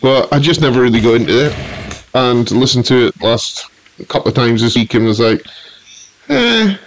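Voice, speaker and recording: A man's voice: mid-range voice, expressive, moderate pace, rough recording. A man, a podcast co-host, chatting casually in a blunt, unimpressed way. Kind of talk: casual chat.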